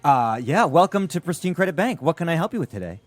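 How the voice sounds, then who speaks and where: An actor playing a chatty, eager, awkwardly chummy bank clerk in a comedic audio drama, chirpy and casual. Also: male voice, on the high side, sing-song, fast talker, soft background music. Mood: cheery, eager. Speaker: bank employee.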